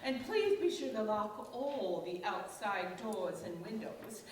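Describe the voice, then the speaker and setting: A woman's voice: mid-range voice, expressive, slow pace. A woman playing a grave, officious asylum superintendent in a staged radio play, formal and emphatic. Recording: echoey stage, distant mic, faint noise.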